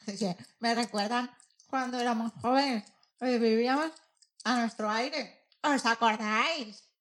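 A woman's voice: a little high, takes it slowly, a bit bright. A woman voicing an anxious, squeamish hen in a dramatic, lamenting way for a children's audio story. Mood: nostalgic. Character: anxious, squeamish female laying hen, nostalgic.

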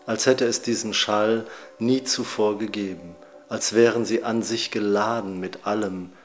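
Lowish guy narrating calm and steady, music going underneath. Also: unhurried. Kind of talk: scripted narration.

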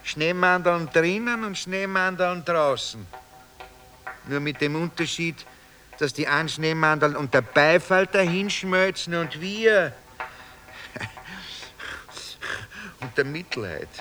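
Radio drama: a wheedling, folksy Viennese homeless man, forceful bright tone, faint music behind. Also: a little high, measured pace. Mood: sardonic. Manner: rambling, musing, folksy storytelling with mock-grand turns. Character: talkative Viennese homeless man, wry, wheedling, street philosopher. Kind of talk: radio drama acting in dialect.

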